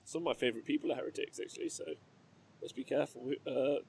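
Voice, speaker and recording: A man's voice: mid-range voice, quick pace, gravelly, darkish tone, a little hiss or hum. A man hosting a live stream, joking and teasing his co-host in casual banter.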